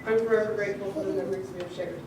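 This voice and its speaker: A woman in her twenties, a graduating student, giving a ceremony speech with light jokes and warm thanks. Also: medium pitch, fairly even intonation, rapid-fire. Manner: light, joking and tearful at times, frequent laughs. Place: large hall. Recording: echoey hall, far from the mic, audible noise.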